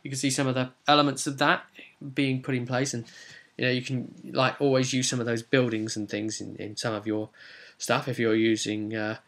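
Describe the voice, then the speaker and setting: Man, medium pitch, gravelly vocal fry, informal, rambling tabletop role-play recap. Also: flat delivery, a little fast, fairly bright tone. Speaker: game master presenting a video recap. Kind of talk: casual recap of a tabletop role-playing session.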